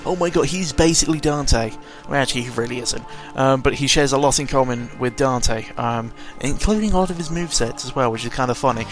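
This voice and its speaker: A man's voice: medium pitch, a little fast, few pauses. A British man giving casual, unscripted video game commentary, rambling along in a sarcastic, mildly sweary way. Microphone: close microphone. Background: video game music.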